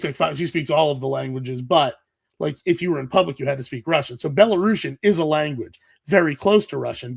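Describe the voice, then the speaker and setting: Co-host, male, Rhode Island, under the weather; gravelly, chatty, likes to explain. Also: fairly high pitch. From Rhode Island, USA. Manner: joking, explains at length. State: sick, coughing. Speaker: podcast co-host. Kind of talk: casual chat.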